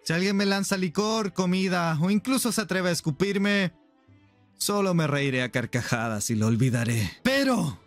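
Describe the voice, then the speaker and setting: A man's voice: high voice, animated, normal speed. A man playing a commanding, protective pirate captain in an anime dub. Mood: calm warning. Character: cool-headed, commanding young pirate captain, protective of friends. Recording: music behind the voice.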